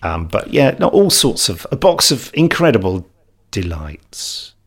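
A man's voice: lowish voice, big pitch swings, unhurried. A British man hosting a casual podcast, chatting in a jokey, rambling, hesitant way. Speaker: podcast host. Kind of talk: casual unscripted podcast chat.

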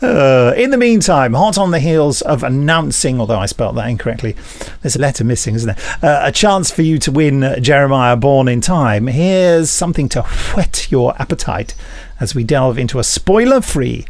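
A man's voice: medium pitch, lively intonation, moderate pace, hardly any pauses, darkish tone, no room sound. A man co-hosting a podcast in casual comic chat, playful and fond of wordplay. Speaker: podcast co-host.

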